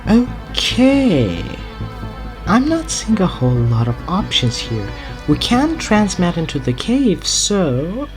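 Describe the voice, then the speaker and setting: An actress performs an arch female renegade time-traveller from a sci-fi audio drama. She is sly and sardonic, drawing out her words slowly with mocking, theatrical swoops in pitch. Faint music sits behind her. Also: exaggerated voice. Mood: incredulous.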